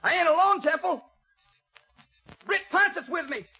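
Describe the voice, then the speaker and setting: An American actor playing a bitter, beaten-down rancher with a prison past, speaking in a halting, plaintive way in 1950s Western radio style. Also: male voice, extremely high pitch, animated, sharp tone, heavily acted. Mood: defiant. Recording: muffled old radio recording with music.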